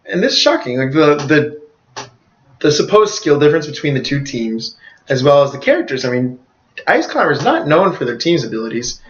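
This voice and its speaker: A man's voice: a little high, wildly swooping pitch, brisk. Man analyzing esports in an echoey room. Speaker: co-host of an esports recap show. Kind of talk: casual esports analysis chat. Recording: echoey room, dull lo-fi sound.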